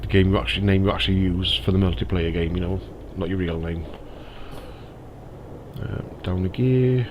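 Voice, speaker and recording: A man's voice: low voice, fairly even intonation, normal speed, muffled recording, background noise. A man with a slightly stuffy nose from a cold, chatting casually and thinking aloud as he plays a driving game. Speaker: gaming YouTuber. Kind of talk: casual gameplay commentary.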